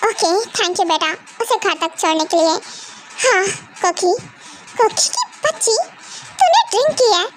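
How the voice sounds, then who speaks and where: A woman acts out a sharp-tongued mother in a comic skit. She nags and scolds with heavy sarcasm. The voice sits very high, with a faint background hiss. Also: unhurried. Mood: scolding. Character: scolding, fussy middle-aged mother. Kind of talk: comic sketch acting.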